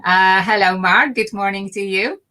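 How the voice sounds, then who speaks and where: Bright-voiced woman hosting a video call. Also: mid-range voice, moderate pace. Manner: hesitant, filler-heavy, polite. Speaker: host. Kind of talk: online interview introduction. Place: London.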